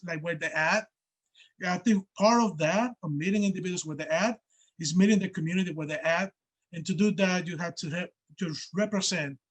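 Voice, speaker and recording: High-voiced man, panelist on a video call, earnest, explains with examples. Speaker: panelist, care coordinator. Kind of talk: casual panel discussion.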